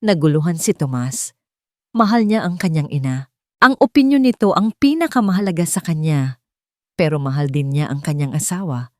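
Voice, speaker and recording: A woman's voice: sits low, expressive, moderate pace, dry recording, clean studio sound. A woman with a Filipino accent telling a scripted story as a dramatic narrator.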